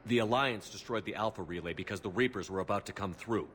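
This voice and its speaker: A man's voice: lowish voice, fast, a bit bright, slight background noise, lightly acted. A man playing a blunt, resolute human military commander in a video game. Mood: firm, businesslike. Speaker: game protagonist.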